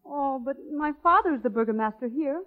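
An actress playing a timid, sweet young village daughter in a 1940s radio operetta. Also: female voice, high-pitched, expressive, brisk. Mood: wistful. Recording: reverberant, dull old radio with music.

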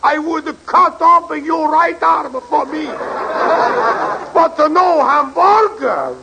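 An actor playing an excitable, big-hearted Italian immigrant food seller with a raspy voice and broken English, in old radio comedy style. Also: male voice, extremely high pitch, slow, background noise, heavily acted. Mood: pleading, effusive. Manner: exclamatory, broken English, effusive. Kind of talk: 1940s-50s radio comedy acting.

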